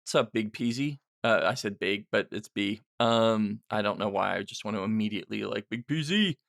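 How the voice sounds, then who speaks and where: A man's voice: on the low side, moderate pace, creaky, fairly bright tone. A middle-aged man hosting a casual, unscripted question-and-answer podcast, rambling and self-deprecating as he thinks aloud. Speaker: podcast host and writer answering listener questions.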